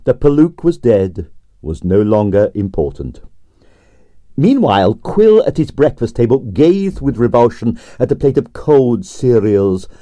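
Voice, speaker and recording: A man's voice: medium pitch, very animated, unhurried, mellow, dry recording. Dry-voiced man narrating a comic mystery. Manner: dry, wry storytelling. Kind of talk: abridged audiobook narration.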